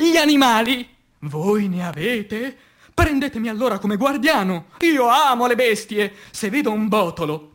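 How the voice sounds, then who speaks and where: A man playing an anguished, passionate revolutionary poet in a declamatory radio theatre recitation, with dramatic pauses and swings between hushed and loud delivery. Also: very high-pitched, full character voice. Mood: playful, aching. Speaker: stage actor.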